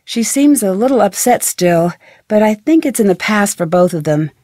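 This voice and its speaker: A woman's voice: medium pitch, animated, normal speed, mellow, no echo, clean studio sound, lightly acted. A young woman voicing a friendly, curious, plucky amateur detective in a video game, with earnest, conversational delivery. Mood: thoughtful.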